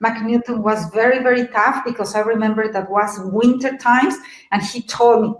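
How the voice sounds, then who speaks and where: A middle-aged woman with a Mexican Spanish accent tells her story in a motivational webinar, lively and animated, turning to her listeners with questions. Her voice sits fairly high. It comes over a video call from an echoey room. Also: normal speed. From Mexico City. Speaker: webinar presenter.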